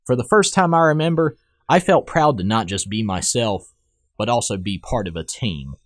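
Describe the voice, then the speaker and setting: Young man narrating, lively low-pitched delivery. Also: moderate pace, dry recording, clean studio sound. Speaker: essayist reading own personal story. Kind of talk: scripted personal essay narration.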